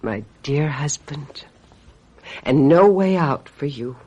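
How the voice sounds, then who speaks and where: An actress playing a vengeful, scheming woman who calls herself a witch, with deliberate, mock-sweet menace in an old-time radio mystery style. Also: deep voice, lively intonation, a little mellow, dull audio, heavily acted.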